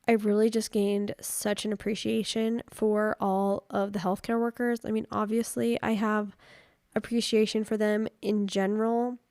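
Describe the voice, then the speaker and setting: Chatty podcast host woman, slightly raspy. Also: medium pitch, level tone, normal speed, a little mellow, no echo, studio quality. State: recovering from a cold. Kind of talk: casual podcast chat. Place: home.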